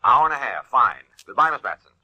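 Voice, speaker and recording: An actor playing a hurried, polite male insurance adjuster in a 1940s radio drama, brisk with hesitant pauses. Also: mid-range voice, big pitch swings, husky, no room sound, muffled recording.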